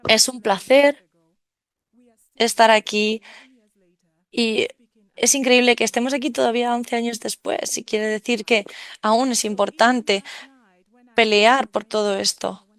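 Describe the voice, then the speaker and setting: Fairly high, dry-voiced woman interpreting live. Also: fairly even intonation, a little slow, long pauses, clear, resonant tone, dry recording. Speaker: simultaneous interpreter. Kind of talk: live interpretation of a conference talk.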